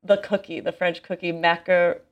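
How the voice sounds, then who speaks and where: Expressive American woman in her thirties chatting. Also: fairly low pitch, normal speed, phone-quality sound. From small town in Indiana, United States. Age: 30s. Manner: expressive, self-deprecating, slangy. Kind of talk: casual chat.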